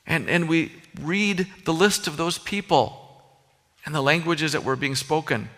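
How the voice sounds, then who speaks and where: A man with a Minnesota accent delivers a sermon in a bright, forward voice that sits a little high for a man. He preaches earnestly and conversationally, with a long reverb trailing his words. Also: unhurried. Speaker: pastor.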